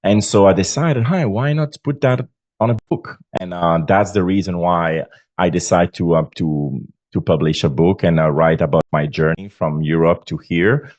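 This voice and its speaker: A deep, low-voiced man in his forties speaks with a Belgian accent. He talks earnestly and stops often on fillers. The sound is dry and a little dull, like a remote lo-fi line. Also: a little mellow, no echo. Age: 40s. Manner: earnest, halting with many fillers. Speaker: guest author. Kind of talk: podcast interview.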